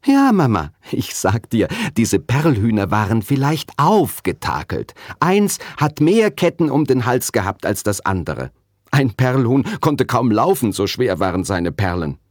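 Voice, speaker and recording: Man voicing an excitable, higher-pitched storybook schoolgirl. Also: measured pace, no echo, clean studio sound. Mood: excited telling. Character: schoolgirl telling tall tales, earnest and cheeky. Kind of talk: children's storybook reading.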